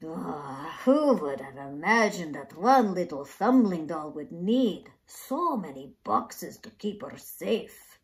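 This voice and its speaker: A woman's voice: lowish voice, sing-song, takes it slowly, few pauses, heavily acted. Woman playing an exasperated, theatrical old grandfather. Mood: drowsy musing. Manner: theatrical exclamations and sighs. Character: exasperated, dramatic old grandfather box maker.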